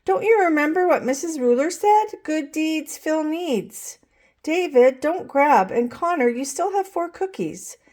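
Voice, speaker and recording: A woman's voice: high voice, animated, measured pace, a little mellow, pitched above the performer's own voice. A woman voicing an earnest little kindergarten boy in a storybook, with a childlike, exclaiming manner. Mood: earnest, urging. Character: earnest little kindergarten boys squabbling and making up.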